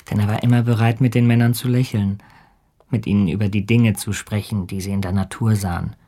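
An actor playing an earnest, ambitious polar explorer and ship's captain in a radio drama, speaking and narrating in a heartfelt, literary way. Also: male voice, fairly low pitch, a little mellow, husky.

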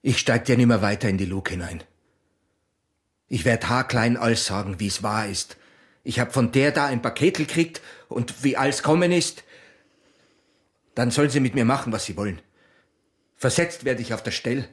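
An actor playing a tormented, honour-bound young border guard in a radio drama, with a husky, breathy voice and an Austrian accent. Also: male voice, mid-range voice, animated, normal speed, pauses often. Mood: anguished resolve. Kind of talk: intense radio drama acting in rural dialect.

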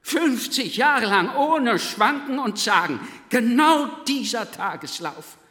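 A man's voice: extremely high pitch, expressive, takes it slowly, pushed and bright, heavily acted. A male actor playing a pedantic, pompous old Prussian philosopher in a radio play, declaiming in clipped, self-important phrases. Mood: pompous, self-satisfied. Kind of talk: theatrical radio play acting. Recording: echoey hall, long reverb.

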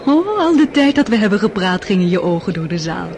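An actress playing a worldly, scheming society countess with a honeyed, flattering manner. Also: female voice, mid-range voice, a little fast, slight background noise. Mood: coaxing. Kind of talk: radio play acting.

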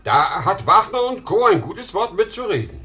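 A man's voice: high voice, sing-song, normal speed, bright, forceful tone, heavily acted. Desperate ageing salesman, wildly swinging voice. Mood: unsure, defensive. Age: older. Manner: emotional, swinging between outcry and murmur. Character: desperate, worn-out ageing travelling salesman, proud and dreamy. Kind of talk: 1950s German radio drama acting.

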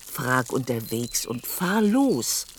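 A woman's voice: extremely low pitch, very animated, takes it slowly, slightly rough, exaggerated voice. An older woman acting in a radio play as a shrewd old woman who coaxes and commands a younger man. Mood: coaxing, urgent. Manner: coaxing, commanding.

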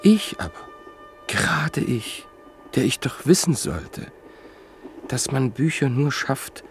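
An actor playing a reflective writer who narrates in a measured, literary way in a radio play. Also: male voice, medium pitch, sing-song, faint music behind. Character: reflective male writer and book collector, narrator.

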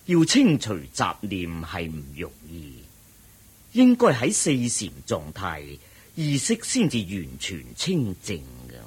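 A humble, solemn ascetic monk in a radio drama, with a lowish, very rough and breathy voice. He teaches slowly and deliberately in a Hong Kong Cantonese accent, patient and didactic. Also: huge pitch swings, heavily acted. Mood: calm, instructive.

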